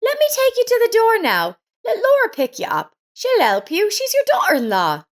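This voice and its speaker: A woman's voice: very high voice, huge pitch swings, normal speed, voice pushed up, heavily acted. A woman voicing a kind, insistent female neighbor in a story, with emphatic, concerned delivery. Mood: concerned, questioning.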